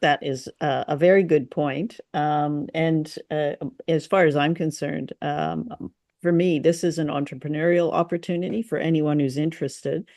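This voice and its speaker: A woman hosting a casual podcast discussion, speaking in a measured way with some hesitation. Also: sits low, darkish tone, dry recording, studio quality. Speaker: podcast host. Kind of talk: casual chat reviewing an old radio drama.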